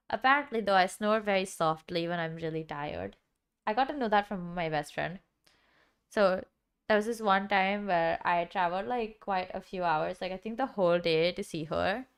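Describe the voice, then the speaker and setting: A woman's voice: fairly low pitch, clean tone. A young woman streamer with a dry, sick-sounding throat, chatting casually and rambling with lots of filler words. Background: background music.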